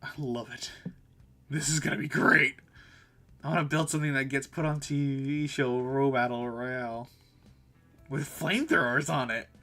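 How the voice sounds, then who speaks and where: A man voicing a spunky, manic, coffee-crazed young girl who tinkers, excitable and shouty, in a visual novel. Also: mid-range voice, normal speed, long pauses, faint music behind. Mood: gleeful. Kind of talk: visual novel dialogue read aloud.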